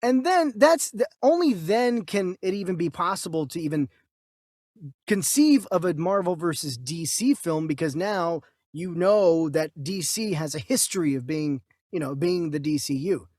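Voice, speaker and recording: A man co-hosting a casual discussion, reasoning through his opinions in a halting way and repeating himself. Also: fairly high pitch, expressive, a little mellow, dry recording, studio quality. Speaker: co-host of a movie news show.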